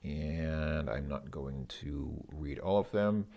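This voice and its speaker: Male, deep voice, informal tutor, hesitant delivery, reasons through translations as he speaks. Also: creaky, warm tone. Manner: hesitant, halting, thinking aloud. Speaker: language learner presenting a Russian lesson. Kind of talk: informal lesson, translating and thinking aloud.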